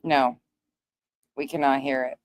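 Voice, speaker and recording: A woman's voice: very deep voice, steady tone, a little slow. A woman commentating on played clips on an online talk show, casual, opinionated and emphatic.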